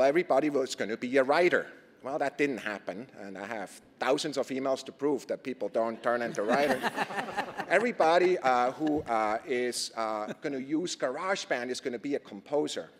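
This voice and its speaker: A man with a Swiss accent giving an earnest conference talk, posing rhetorical questions and pausing on fillers. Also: mid-range voice. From Switzerland. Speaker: conference presenter. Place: conference stage. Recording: reverberant lecture hall.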